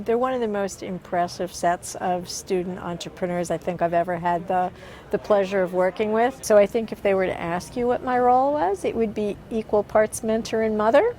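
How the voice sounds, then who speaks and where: A woman of middle pitch speaks in a measured, articulate way as a program director. Faint noise sits behind her voice. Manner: warm praise, measured and articulate. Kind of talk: interview answers.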